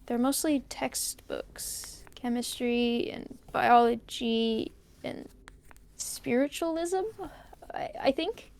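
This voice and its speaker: A woman's voice: fairly high pitch, takes it slowly, vocal fry, a little mellow. A woman playing an uneasy young person recording a rambling, pensive diary in an audio drama. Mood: pensive, hesitant. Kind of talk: audio drama monologue, found-tape diary.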